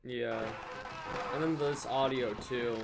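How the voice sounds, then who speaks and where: A man's voice: medium pitch, fairly even intonation, takes it slowly, gravelly, noisy background. A man hosting a reaction video with casual, rambling, emphatic commentary.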